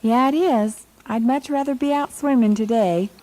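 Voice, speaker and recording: A woman's voice: fairly high pitch, moderate pace, dark tone, natural voice. A woman playing a casual, obliging college librarian in a 1980s audio drama. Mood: casual, helpful. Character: obliging female college librarian wishing she were elsewhere.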